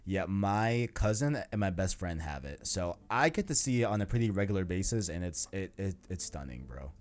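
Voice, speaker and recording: Low-pitched man hosting a casual gaming podcast. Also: big pitch swings, moderate pace, darkish tone. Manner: informal, slangy, rambling. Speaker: podcast host.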